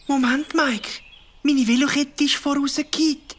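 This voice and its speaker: A woman with a Swiss German accent, voicing a gentle, sentimental young kid in a children's audio drama. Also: high-pitched, steady tone, a little slow. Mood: chatty.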